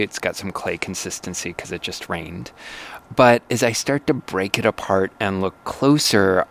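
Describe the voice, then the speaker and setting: A man's voice: fairly low pitch, a little hiss or hum. A man with an American accent hosting a science podcast, moving between scripted narration and interview questions. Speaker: podcast host.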